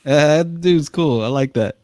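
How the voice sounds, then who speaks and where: A man's voice: fairly high pitch, normal speed. A man hosting a live call-in podcast, chatting casually and laughing easily with callers. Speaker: podcast host.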